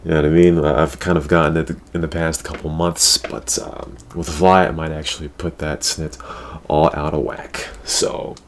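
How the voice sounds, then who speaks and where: A man's voice: a bass voice, little pitch movement, normal speed, few pauses. A man hosting a casual gaming talk show, talking in a crude, slangy way and repeating his catchphrases. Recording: clear background noise.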